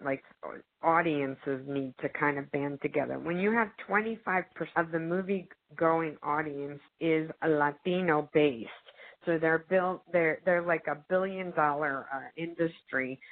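A woman actress as a guest in a casual discussion, talking earnestly and emphatically with many fillers. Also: low voice. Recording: narrow phone-like audio.

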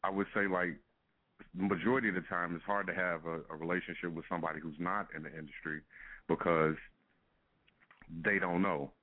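A man's voice: low-pitched, fairly even intonation, a little fast, long pauses. A man, a music artist guest, talking in a laid-back casual way with frequent laughs and fillers over a phone call. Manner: laid-back, laughs often, fillers like "you know what I'm saying". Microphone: telephone. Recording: phone-line call-in audio.

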